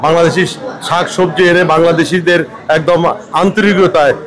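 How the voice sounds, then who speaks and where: A man with a Bangladeshi accent giving halting, unscripted interview remarks. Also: on the high side, steady tone, a bit bright. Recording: crowd noise behind.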